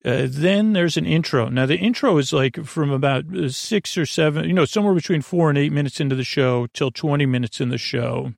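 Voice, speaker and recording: Male host for a bedtime podcast. Creaky, fry-heavy voice, rambling and hesitant, lulling delivery, very dry recording. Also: on the high side, brisk, hardly any pauses, no echo. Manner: meandering, hesitant, trailing off into tangents. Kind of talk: rambling sleep podcast intro, soothing monologue.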